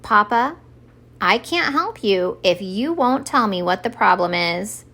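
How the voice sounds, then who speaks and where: A woman's voice: mid-range voice, lively intonation, unhurried, pushed and bright, exaggerated voice. A woman voicing Santa's patient old wife in a children's storybook, coaxing and reasoning with him. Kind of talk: expressive children's storybook read-aloud.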